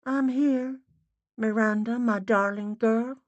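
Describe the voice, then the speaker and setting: A woman's voice: a little high, fairly even intonation, takes it slowly, voice pushed up. Woman voicing a faint, muffled ghostly Boston mother. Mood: loving, reassuring. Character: faint, loving ghost of a middle-aged mother.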